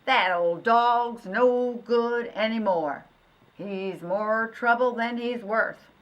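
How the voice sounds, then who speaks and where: A woman voicing an impatient male farmer in a storybook, speaking slowly and with emphasis. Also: a little high, lo-fi sound, voice pushed up. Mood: fed up. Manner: slow, emphatic.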